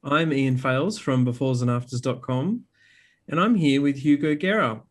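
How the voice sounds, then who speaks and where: A man's voice: mid-range voice, normal speed. A man co-hosting a podcast in an upbeat, informal, chatty way. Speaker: podcast host. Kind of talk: casual podcast chat.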